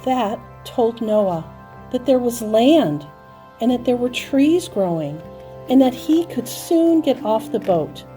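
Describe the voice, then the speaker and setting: A woman telling a story to young children in an expressive, dramatic manner, with emphatic repeated phrases. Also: on the high side, slow pace. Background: music and sound effects.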